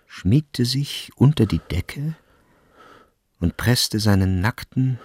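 A man narrating a literary radio drama in a measured, grave and expressive way. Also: fairly low pitch, slightly rough, no echo, clean studio sound.

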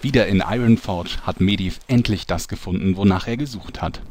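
A man's voice: on the low side, a little fast, darkish tone, slight background noise, close to an everyday voice. A man narrating a fantasy soap parody radio play as a composed storyteller.

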